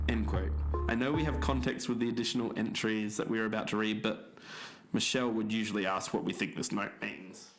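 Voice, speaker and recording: A man playing a podcast investigator and believer, earnest and slightly hesitant as he discusses and reads out notes. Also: fairly low pitch. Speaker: podcast co-host. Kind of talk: podcast discussion and journal reading.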